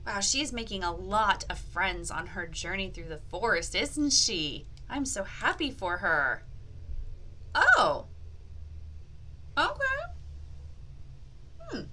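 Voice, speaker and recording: A woman's voice: medium pitch, big pitch swings, takes it slowly, long pauses, pushed and bright. A woman hosting an interactive lesson for young children, playfully exaggerated and encouraging, asking questions and drawing out key words. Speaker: children's teacher and host of a read-aloud language activity.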